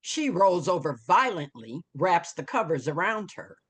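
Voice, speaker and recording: A woman with a lowish voice narrates a screenplay's stage directions at an unhurried pace. Her pitch moves expressively as she delivers scene headings and action in dramatic storytelling style. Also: sing-song, slightly rough.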